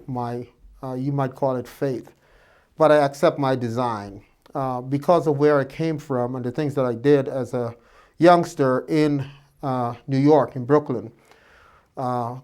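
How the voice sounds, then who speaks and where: Guyanese-born doctor, reflective storyteller. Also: male voice, medium pitch, a little mellow. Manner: reflective, frequent uh fillers and restarts. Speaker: doctor interviewed by students. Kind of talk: relaxed personal storytelling.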